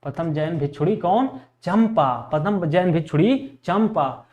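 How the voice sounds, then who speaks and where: Male exam teacher, high-pitched, repetitive question-and-answer drilling in a lecture style. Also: expressive, measured pace, a little mellow, lo-fi sound. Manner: repetitive, asks questions and answers them himself. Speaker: history teacher for exam preparation. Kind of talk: classroom lecture drilling facts for exams.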